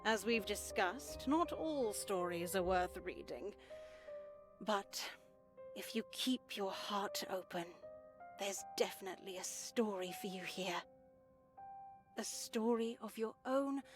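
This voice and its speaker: A woman voicing a stern, composed nun in a horror visual novel, speaking in a measured, patronizing way. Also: medium pitch, music in the background, close to an everyday voice. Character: stern, composed nun in charge of spiritual formation. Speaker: voice actor. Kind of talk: visual novel character acting.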